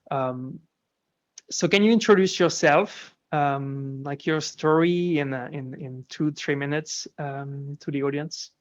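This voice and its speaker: A male host with a slightly high voice who speaks as an introduces his guest haltingly, full of "um" and "uh". The sound is dull and lo-fi, like a video call. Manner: hesitant, many "um" and "uh" fillers. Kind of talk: interview hosting.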